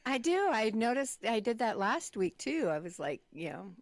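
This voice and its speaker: A woman co-hosting a morning radio show with a slightly hoarse voice and wry, laughing asides. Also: a little high, big pitch swings, normal speed, no echo, clean studio sound. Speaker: radio co-host. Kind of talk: casual radio chat.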